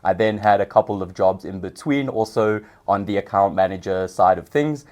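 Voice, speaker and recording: A young South African man, a podcast guest, explaining his work in a relaxed way with some hesitations. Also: fairly low pitch, moderate pace. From Johannesburg, South Africa. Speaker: guest, customer success manager. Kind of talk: casual interview.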